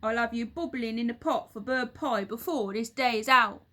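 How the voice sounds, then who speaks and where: A woman voicing a furious, nasty old man from a children's storybook, shouting and barking orders like a bully. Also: fairly high pitch, normal speed. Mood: furious, threatening.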